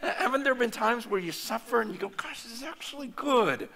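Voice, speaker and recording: A man preaching a conference sermon in a loose, animated, conversational way, full of fillers and restarts. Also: extremely high pitch, brisk, vocal fry. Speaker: pastor. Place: large hall or meeting room before an audience.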